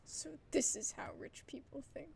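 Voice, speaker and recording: A woman's voice: normal speed, darkish tone. A woman hosting a gaming video with casual playthrough commentary, informal and wry, often trailing off into muttered asides.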